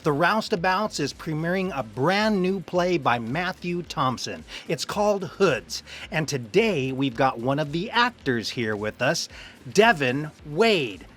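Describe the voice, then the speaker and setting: Enthusiastic male interviewer, slightly high voice. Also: big pitch swings, unhurried, a bit bright, slight background noise. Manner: enthusiastic, effusive. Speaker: interviewer and fellow actor. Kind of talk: casual promotional interview.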